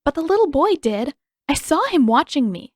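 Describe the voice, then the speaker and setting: A woman's voice: high voice, big pitch swings, normal speed, dry recording, studio quality, pitched above the performer's own voice. A woman voicing an earnest, exasperated teenage girl in an audiobook story, speaking in an emphatic, matter-of-fact way. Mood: offhand. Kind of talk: audiobook character dialogue.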